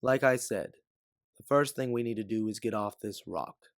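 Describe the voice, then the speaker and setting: A man's voice: fairly low pitch, normal speed, no room sound, studio quality, natural voice. A man voicing a guilt-ridden, hardened former bounty hunter in a sci-fi story, blunt and emphatic. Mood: skeptical.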